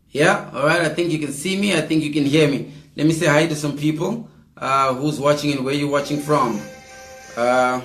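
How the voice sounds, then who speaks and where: Hoarse man host, live-stream chat. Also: mid-range voice. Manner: chatty, addresses viewers directly, repeats calls. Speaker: host of an online political show. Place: indoor room, seated at a table. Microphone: fixed camera, mid-distance. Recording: roomy echo.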